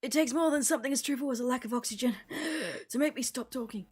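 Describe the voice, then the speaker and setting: A woman's voice: sits high, fast, breathy edge, voice pushed up. Woman putting on a whiny, breathless teenage boy runner. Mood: breathless stubbornness. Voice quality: panting, gasping. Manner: whining, rambling, protesting.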